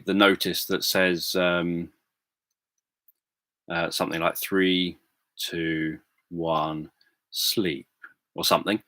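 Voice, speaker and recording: A middle-aged man chatting casually on a livestream, answering viewers in a soft, gentle way with many fillers and restarts. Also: low voice, dry recording. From England. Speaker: livestream host. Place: home room.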